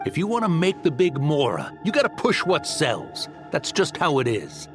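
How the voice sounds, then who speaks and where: An actor playing a greedy, callous arms dealer in a dramatic video game, smug and cynical, persuasive and dismissive with veiled threats. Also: male voice, a little high, wildly swooping pitch, normal speed, over music.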